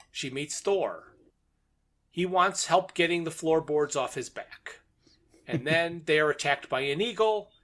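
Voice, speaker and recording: Higher-voiced man recapping a story. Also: a bit bright. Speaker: podcast host recapping a radio drama. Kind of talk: episode recap in conversation.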